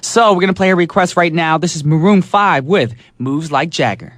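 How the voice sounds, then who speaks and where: A man's voice: a little high, normal speed, fairly bright tone. A man hosting a call-in radio show, enthusiastic and chatty, saying "awesome" a lot. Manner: enthusiastic, repeats "awesome" and "alrighty". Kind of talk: upbeat radio call-in chat. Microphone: studio microphone.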